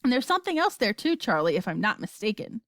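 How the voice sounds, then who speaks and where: A woman voicing an unflappable, eccentric gentleman inventor in a storybook, brisk, formal and assured. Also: medium pitch, very animated, no echo, clean studio sound. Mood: cheerful.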